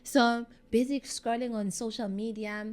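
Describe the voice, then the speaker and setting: A woman's voice: fairly high pitch, measured pace. Candid South African woman in her thirties. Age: 30s. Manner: candid, animated, acts out quoted voices. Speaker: guest. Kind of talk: personal story in a podcast conversation.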